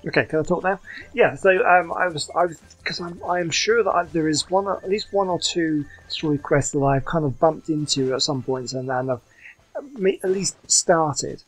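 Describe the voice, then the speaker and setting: Husky-voiced male game streamer. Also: fairly high pitch, moderate pace, poor audio. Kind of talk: casual game commentary, reading dialogue aloud.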